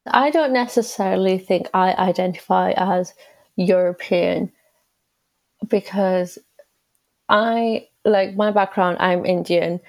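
A woman's voice: mid-range voice, slow, darkish tone, dry recording. A young woman from England with an English accent, chatting as a podcast guest with frequent filler words. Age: young adult. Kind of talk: casual conversational answers.

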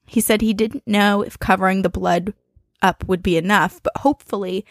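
Creaky-voiced woman co-hosting a podcast. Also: medium pitch, fairly even intonation, moderate pace, no room sound, clean studio sound. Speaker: podcast co-host. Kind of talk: casual chat and reading listener emails aloud.

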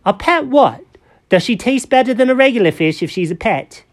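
A woman's voice: fairly high pitch, animated, moderate pace, pitched above the performer's own voice. A woman voicing a naive, greedy male kitty cat who is obsessed with eating fish. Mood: puzzled. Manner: eager, wide-eyed and fish-obsessed.

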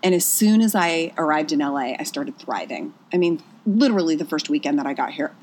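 A woman's voice: low voice, lively intonation, a little fast, darkish tone. A woman from Pennsylvania, a guest telling her personal story in an earnest, reflective way. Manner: earnest, reflective, speaks with frequent "I was just like".

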